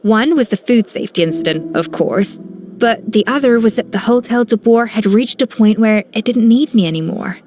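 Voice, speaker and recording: A woman's voice: a little high, moderate pace, close to an everyday voice. A woman voicing a poised, confident master chef. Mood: composed. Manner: calm, poised, gently instructive. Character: composed grown-up female master chef, confident mentor. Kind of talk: video game voice acting. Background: soft game music.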